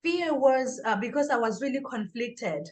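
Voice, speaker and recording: A woman answering as an interview guest in a conversational, halting way, often correcting herself mid-sentence. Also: on the high side, animated, darkish tone. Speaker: interview guest, author and singer.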